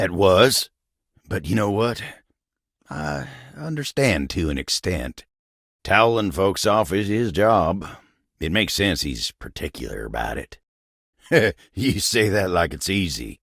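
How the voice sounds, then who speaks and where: A living wooden table from a video game, gruff, proud and old-fashioned, with a gravelly Southern country drawl. He takes his time and his pitch swings widely as he grumbles, bashful and easily flustered. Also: a bit bright, no room sound, clean studio sound, exaggerated voice. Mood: sheepish, earnest. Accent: American Southern or rural Western drawl. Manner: folksy, grumbling, flustered, halting when embarrassed. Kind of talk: video game character voice acting.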